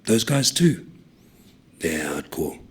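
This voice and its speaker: A man voicing a cheeky young male road worker who spins deadpan tall tales in a low, conspiratorial way. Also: takes it slowly, husky, full character voice.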